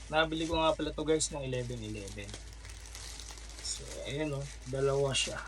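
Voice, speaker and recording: A man with a Filipino accent casually unboxing a gadget in a vlog, relaxed and chatty with lots of fillers. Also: mid-range voice, moderate pace, noisy background. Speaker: vlogger.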